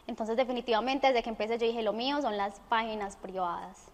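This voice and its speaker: A woman's voice: on the high side, fairly quick. A young woman with a Colombian accent answering interview questions conversationally in a studio. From Armenia, Colombia. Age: young adult. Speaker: interview guest. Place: indoor studio set. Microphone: lapel mic. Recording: reverberant studio room.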